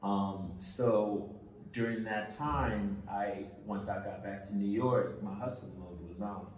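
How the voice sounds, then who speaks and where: A man from Senegal, a guest in an interview, telling his story casually with many pauses, fillers and restarts. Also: on the low side. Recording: echoey room, mic at a distance, faint noise.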